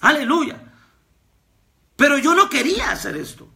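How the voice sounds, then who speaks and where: Bright, gravelly-voiced preacher man. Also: very high voice, very animated, unhurried. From Guatemala. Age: 30s. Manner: fervent preaching with repetitions, rising to shouts. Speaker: pastor and prophet. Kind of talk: recorded devotional sermon.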